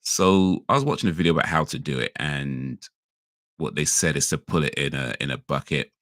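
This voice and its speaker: A man's voice: a bass voice, a little fast, fairly bright tone, no echo, studio quality. A man hosting a casual livestream, rambling and correcting himself with laid-back slang, his voice a little crackly today. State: slightly crackly voice. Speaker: livestream host. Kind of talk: casual chat.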